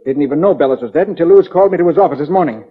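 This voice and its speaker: A man's voice: a little high, lively intonation, racing, husky. An actor playing a nervous, evasive front man for a shady business in a 1940s radio crime drama, courteous but guarded. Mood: desperate, protesting. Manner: guarded, defensive, outwardly courteous. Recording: tinny, noisy 1940s radio recording.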